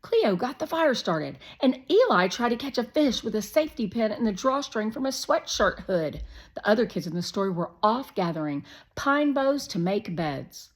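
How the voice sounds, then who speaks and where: A woman narrating a children's story aloud in an expressive, scripted read-aloud style. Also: medium pitch, moderate pace, breathy edge.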